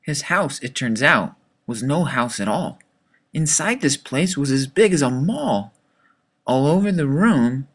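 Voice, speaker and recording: A man reading a rhyming children's story as its author, with dramatic, expressive delivery. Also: on the high side.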